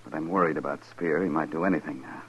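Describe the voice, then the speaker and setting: An actor playing a dutiful, courteous cavalry lieutenant, formal and military, in a 1950s radio western. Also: male voice, very deep voice, steady tone, fast, a bit bright, husky, exaggerated voice. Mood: grave, businesslike. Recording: dull lo-fi old radio broadcast.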